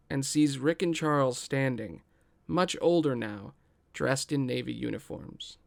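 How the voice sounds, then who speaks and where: A man's voice: medium pitch, gravelly, clean tone. A man hosting and narrating a scripted table read podcast.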